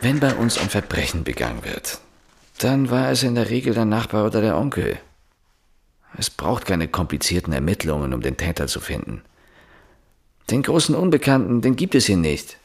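Radio drama lead: a middle-aged police inspector, world-weary and brusque, with a low, husky voice. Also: male voice, very animated, normal speed, no echo, clean studio sound, full character voice. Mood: dismissive, brusque. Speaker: actor playing the police inspector and narrator. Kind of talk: radio drama acting with inner monologue.

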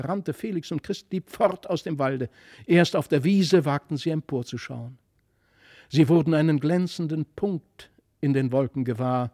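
Audiobook narrator, male: expressive fairy-tale storytelling, highish voice, dry sound. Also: no room sound.

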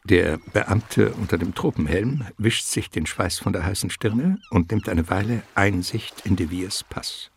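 Male narrator, very low pitch, gravelly creak, suspenseful, deliberate, literary radio drama narration. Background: prominent music.

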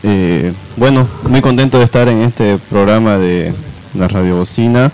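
A man co-hosting on radio in a hesitant, halting way. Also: lowish voice, a little mellow, slight background noise, low-quality recording. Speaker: radio co-host. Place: radio programme.

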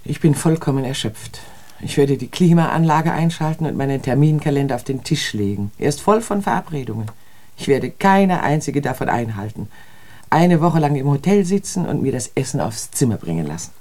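An actor playing a weary European traveller in radio drama dialogue, languid and matter-of-fact. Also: male voice, mid-range voice, lively intonation, normal speed, darkish tone, natural voice. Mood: exhausted, resolute. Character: weary well-travelled European visitor in a hotel.

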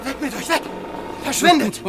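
An actor playing a tormented, pious hermit in a radio drama, agitated and exclamatory. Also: male voice, extremely high pitch, moderate pace. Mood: furious. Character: tormented pious male hermit, easily provoked and horrified.

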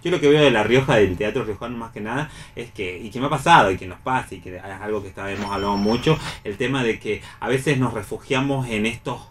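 A man's voice: on the low side, fast talker, few pauses, fairly bright tone, slight background noise. Argentine man in reflective radio discussion. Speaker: radio panelist.

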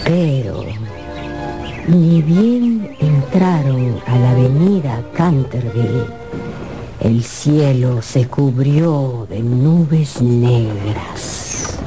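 A woman narrating a scripted radio drama as an expressive, theatrical storyteller. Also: rumbling low, very slow, music in the background.

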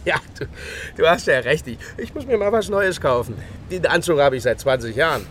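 A man's voice: on the high side, animated, fairly quick, a bit bright, slight background noise. An actor playing an eager East German man turned insurance salesman in a radio drama, chatty, insistent and ingratiating. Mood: sheepish, earnest.

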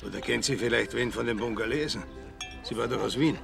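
A crude, mocking Austrian villager in a radio crime play. His voice is mid-pitched and very creaky, with music behind it. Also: fairly quick. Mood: baffled. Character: crude, mocking male villager gossiping about murders. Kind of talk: German radio crime drama dialogue.

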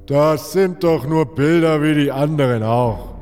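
An actor playing a blunt, sceptical warrior companion in a fantasy audio drama. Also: male voice, fairly high pitch, a little slow, darkish tone, faint music behind, lightly acted. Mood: doubtful. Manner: blunt, dismissive. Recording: long hall-like reverb.

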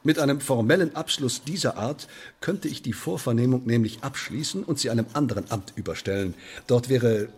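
An actor playing a menacing, sardonic Elizabethan English sheriff in a German radio drama, officious and mock-polite, with deliberate emphasis. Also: male voice, medium pitch, darkish tone, breathy edge, close to an everyday voice. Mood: officious pressing.

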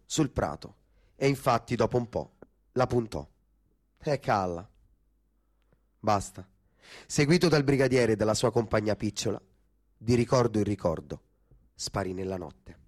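An actor playing a sardonic working-class Roman storyteller, narrating theatrically with a Roman accent. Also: male voice, on the low side, lively intonation, unhurried, long pauses. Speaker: stage actor-narrator in a radio theatre piece.

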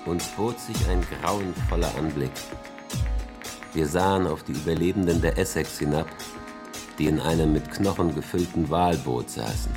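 An actor playing a grave male seafarer who recounts horrors in measured, dramatic radio drama narration. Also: extremely low pitch, over music. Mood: grave, haunted.

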